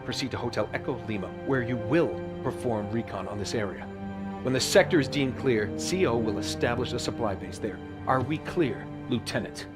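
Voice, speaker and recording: A man's voice: medium pitch, moderate pace, over loud music, close to an everyday voice. A man playing a stern, blunt senior military officer in a video game cutscene, curt and commanding.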